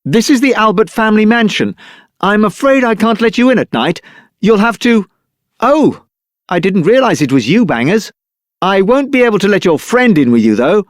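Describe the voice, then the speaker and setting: A man's voice: sits high, big pitch swings, moderate pace, no echo, studio quality. A man playing an officious but friendly mansion guard in a video game.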